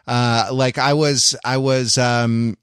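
A man's voice: medium pitch, flat delivery, takes it slowly, a bit bright, clean tone, no room sound, clean studio sound. An American man co-hosting a podcast, telling stories casually in a rambling, wry, erudite manner. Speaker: podcast co-host. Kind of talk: casual conversational anecdote telling.

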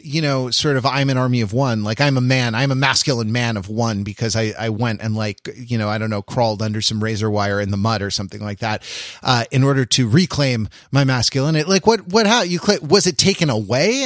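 A man's voice: medium pitch, a bit bright, no echo. Man musing aloud, close dry sound. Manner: halting, rambling, intellectual, wryly amused. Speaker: podcast co-host. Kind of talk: casual analytical discussion.

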